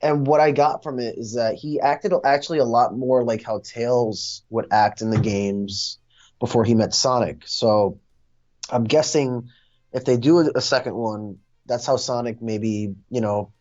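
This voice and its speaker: A man's voice: mid-range voice, steady tone, moderate pace, a little mellow. A dull, lo-fi recording of a man with a mid-range voice. He talks casually about films, hesitating and thinking aloud as he goes. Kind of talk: casual movie discussion. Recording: dull, lo-fi sound.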